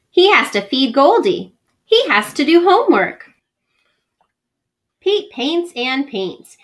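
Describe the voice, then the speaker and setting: A woman reading a picture book aloud to children from home, animated and gentle, pausing to ask her young listeners questions. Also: high-pitched. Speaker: school librarian reading aloud. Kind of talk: children's read-aloud storytelling.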